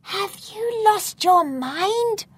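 A woman voicing a curious, bold child sleuth in a storybook, with a breathy, urgent, dramatic delivery. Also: sits high, big pitch swings, measured pace, dry recording, pitched above the performer's own voice, full character voice. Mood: alarmed whispering.

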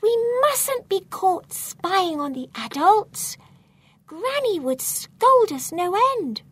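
A woman voicing a curious, bold child sleuth in a storybook, with a breathy, urgent, dramatic delivery. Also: high-pitched, sing-song, unhurried, no room sound, pitched above the performer's own voice, exaggerated voice. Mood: alarmed whispering.

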